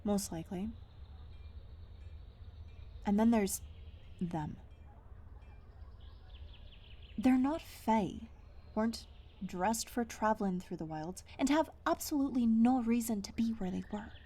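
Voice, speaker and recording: A woman's voice: fairly high pitch, expressive, unhurried, long pauses, mellow. A woman playing a guarded, stubborn dweller of the wilds in a fantasy audio roleplay, speaking in an earnest, naturalistic, hesitant way. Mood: troubled, earnest.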